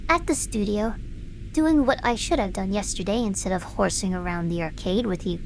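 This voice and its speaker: A woman's voice: mid-range voice, normal speed, gravelly, a little hiss or hum, lightly acted, low-quality recording. A woman playing a defiant young performer in an audio drama, intense, stubborn and earnest. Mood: frustrated, defiant. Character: driven, defiant young woman performer, bitter but passionate.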